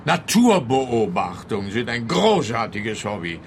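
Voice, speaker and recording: An actor playing an officious, exasperated police officer in a radio comedy, with a pompous, lecturing manner. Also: male voice, mid-range voice, sing-song, a little slow, slight background noise.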